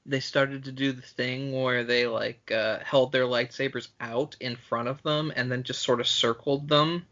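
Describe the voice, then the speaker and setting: Guy podcaster w a creaky voice, rambly sweary movie chat, kinda dull audio. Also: mid-range voice, steady tone, a little slow, bright, forceful tone. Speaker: podcast co-host. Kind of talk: casual film chat among friends.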